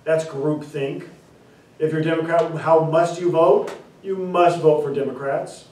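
A man in his forties teaching a class, explaining terms through repeated examples and stressing key words slowly. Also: a little high, steady tone, a little mellow. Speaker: instructor. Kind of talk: classroom lecture. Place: classroom, indoors. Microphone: far camera. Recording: echoey classroom from far off.

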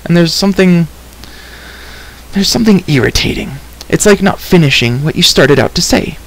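A man's voice: on the high side, expressive, normal speed, creaky, fairly bright tone, pitched above the performer's own voice, exaggerated voice, poor audio. A headstrong young girl from a storybook, acted out by a male reader. The voice sits raised and speaks with emphatic, impatient chatter, outspoken and sure of itself. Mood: frustrated. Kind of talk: audiobook character reading.

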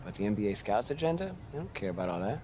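An actor with an American accent playing a blunt, seasoned young point guard who lectures in emphatic rhetorical questions in a video game scene. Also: male voice, on the low side, big pitch swings, racing, noisy background. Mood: blunt, frustrated. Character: blunt, seasoned former college point guard giving hard advice. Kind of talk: naturalistic video game cutscene dialogue.

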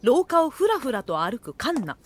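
A woman's voice: on the high side, sing-song, moderate pace, slight background noise. A woman playing a wry narrator who makes dry asides in a comedic audio drama. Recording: music behind the voice.

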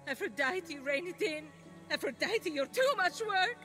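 An actress playing a vain, guilt-ridden goddess of love in a video game, dramatic and self-pitying. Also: female voice, very high voice, animated, a little slow, sharp tone, faint music behind, heavily acted. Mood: anguished. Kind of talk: video game cutscene acting.

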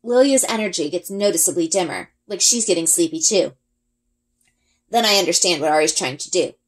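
A woman reading a first-person children's adventure story aloud in an expressive storytelling style. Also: fairly low pitch, a little fast. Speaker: read-aloud reader, elementary school.

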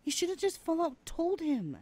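Casting: actress for young adult kid sister in roleplay drama; high voice, stubborn, stands her ground. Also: big pitch swings, normal speed, warm tone. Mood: exasperated, consoling. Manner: defiant, argumentative. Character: defiant, protective younger sister of an overbearing brother. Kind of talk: ASMR roleplay acting.

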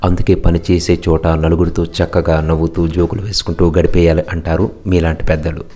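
Male narrator, very low and even, brisk reflective voice-over, soft music under it. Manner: calm, thoughtful, philosophizing. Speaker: narrator of a market travel video.

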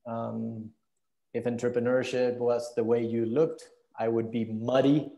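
A man's voice: medium pitch, little pitch movement, measured pace, vocal fry, warm tone, dull audio. A man in his thirties from Venezuela, answering reflectively as a guest on a video call interview. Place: indoor room, video call.

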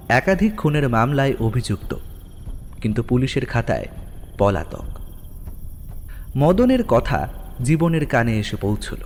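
A man's voice: medium pitch, a little mellow, a little hiss or hum. A man giving a dramatic scripted narration of a horror story.

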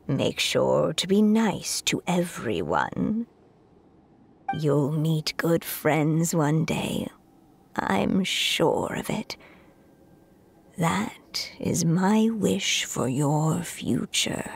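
Tender motherly creator, video game, low-leaning breathy husky voice, creaky edges. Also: big pitch swings, drawn-out words, slight background noise, full character voice. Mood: tender, sorrowful. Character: gentle, loving woman storyteller, short-lived creator of a child.

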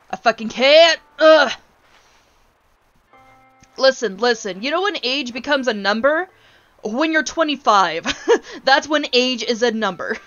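A woman's voice: on the high side, fairly bright tone, no echo, muffled recording. A woman in her twenties ranting casually on a gaming stream, blunt, exasperated and sweary as she tells stories. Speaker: streamer, VTuber host.

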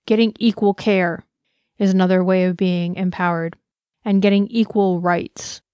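A woman's voice: mid-range voice, fairly even intonation, moderate pace, dark tone, clear, resonant tone, no echo, clean studio sound. A woman giving a personal, earnest conference talk, speaking with passion and reflection. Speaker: conference presenter.

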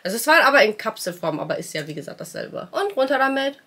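A woman's voice: a little high, sing-song, quick pace, bright, forceful tone. Chatty young woman with a stuffy cold. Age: young adult. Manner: chatty and upbeat, mixing in English words. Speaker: vlogger and music trainee. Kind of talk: casual vlog chat to camera. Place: bedroom at home, indoors.

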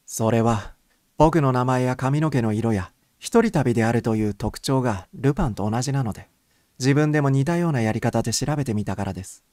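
Man as nervous reserved young man, formal, restrained, mid pitch, audiobook character. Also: brisk, a little mellow, no room sound, studio quality, lightly acted. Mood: tense, defensive. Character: taciturn, nervous young man under suspicion.

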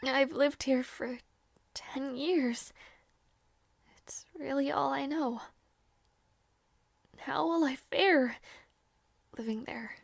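A woman playing a devoted, anxious young maid in an audio roleplay, speaking softly and politely with halting hesitation. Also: high-pitched, creaky, dry recording, full character voice. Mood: sad, wistful.

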